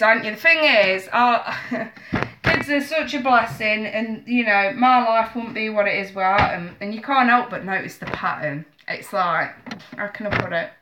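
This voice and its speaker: A woman's voice: medium pitch, background noise. A woman in her thirties with a stuffy, bunged-up nose, chatting emotionally and apologetically to viewers over a live stream from her small home office. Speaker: tarot reader streaming live. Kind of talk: casual live-stream chat. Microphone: webcam at desk.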